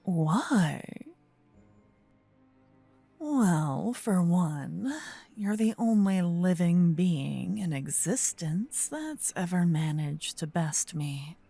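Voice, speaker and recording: A woman playing a possessive demon queen spirit in a fantasy ASMR roleplay, breathy, smug, teasing and regal. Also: lowish voice, lively intonation, very slow, dark tone, faint music behind, full character voice. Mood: coaxing, possessive.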